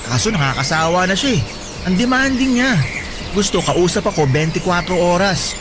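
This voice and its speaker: A man's voice: high-pitched, expressive, moderate pace. An actor playing a playful young man out drinking with a friend, joking and teasing as he talks. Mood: defensive, playful. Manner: joking, teasing, laughs easily. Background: music.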